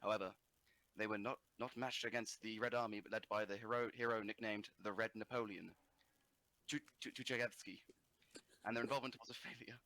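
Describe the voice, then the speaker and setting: Fast-reading bright-voiced man narrating history. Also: on the low side, steady tone, dry recording, poor audio.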